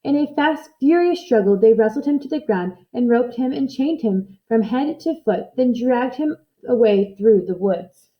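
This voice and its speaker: A woman reading a children's storybook aloud in expressive narration. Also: on the high side, moderate pace, dull audio. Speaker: storybook reader.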